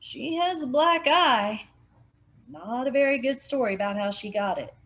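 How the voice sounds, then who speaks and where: A woman's voice: high voice, normal speed, fairly bright tone, pitched above the performer's own voice. A woman reading aloud, voicing a weary, guarded male lawman who speaks matter-of-factly.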